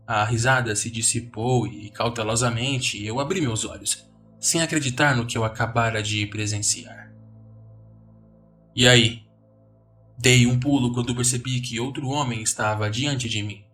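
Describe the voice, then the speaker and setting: A man's voice: medium pitch, a bit bright. A man with a Brazilian accent giving a dramatic scripted narration of a horror story. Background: music.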